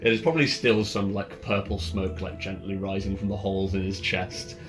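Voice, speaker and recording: A man acting as game master, narrating an improvised tabletop game in a halting way, often rephrasing as he goes. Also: deep voice, level tone. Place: room, in-person game session. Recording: ambient music behind the voice. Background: ambient music.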